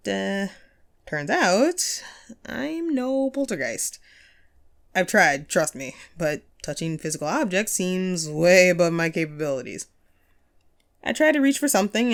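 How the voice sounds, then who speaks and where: A woman playing a chatty, upbeat ghost of a radio host and ghost hunter in an audio roleplay, playful and musing in manner. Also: mid-range voice. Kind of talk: scripted one-sided audio roleplay, conversational.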